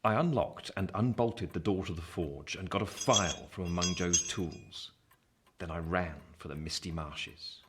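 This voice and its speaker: A wry English storyteller looking back on his boyhood in a radio drama. His voice is low, measured and dramatic, over clear background noise. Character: grown man wryly recalling his fearful boyhood. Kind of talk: scripted radio play narration. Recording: noisy home recording.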